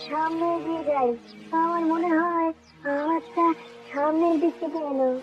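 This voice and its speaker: Woman as a very high-pitched cartoon courtier. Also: fairly even intonation, moderate pace. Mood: agreeable. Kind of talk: Bengali cartoon voice acting. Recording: dull, distant sound under music.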